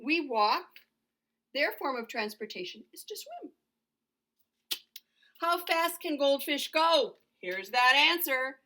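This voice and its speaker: Middle-aged woman, high-pitched, bright forceful tone, schoolteacher addressing small kids, simple question-and-answer phrasing. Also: big pitch swings, slow pace, long pauses. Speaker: elementary school teacher. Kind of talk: lesson for young children, read to camera.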